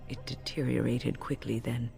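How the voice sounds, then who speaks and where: A woman playing an older mage in a fantasy video game, grave, weary and composed, telling things in a measured way. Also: a bass voice, little pitch movement, vocal fry, dark tone, slightly rough, long reverb tail, soft background music, full character voice.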